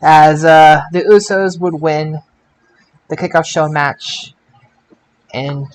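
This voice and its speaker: A man hosting a casual panel podcast about wrestling results, speaking in an emphatic, excitable way. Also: fairly high pitch, takes it slowly. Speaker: podcast host.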